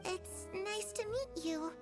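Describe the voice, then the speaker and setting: A woman voicing a sweet, polite little ghost girl in a video game, speaking in an unhurried way. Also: very high-pitched, over music. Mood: sweet, serene.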